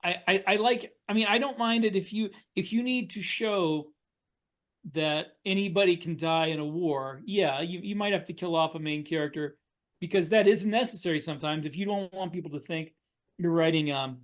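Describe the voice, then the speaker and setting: A man with a somewhat high voice speaks as a podcast co-host in a relaxed panel chat. He deliberates earnestly as he makes his points. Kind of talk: casual panel discussion.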